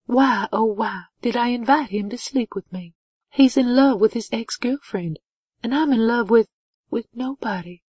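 Woman voicing an insecure young woman, husky, higher-pitched. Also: lively intonation, a little slow, darkish tone, no echo. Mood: anxious, questioning. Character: young woman, insecure, emotional, naive, romantic novel heroine. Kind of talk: audiobook character dialogue.

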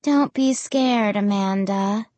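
A woman voicing a dead ghost girl in an audiobook, speaking in a glum, eerily calm, menacing monotone. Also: mid-range voice, slow pace, dry recording, close to an everyday voice. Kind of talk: audiobook character acting.

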